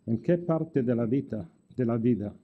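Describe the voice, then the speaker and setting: A man's voice: medium pitch, lively intonation, normal speed, phone-quality sound. An older man with an Italian-tinged accent giving a formal lecture in careful non-native Spanish, with wry asides and restarted words. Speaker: guest lecturer. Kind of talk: formal lecture with dry jokes.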